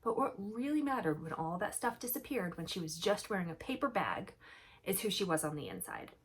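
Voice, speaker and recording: Female storyteller for young kids: husky, medium pitch, highly animated, emphatic, talks directly to the child. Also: brisk. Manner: expressive, emphatic, addresses children with questions.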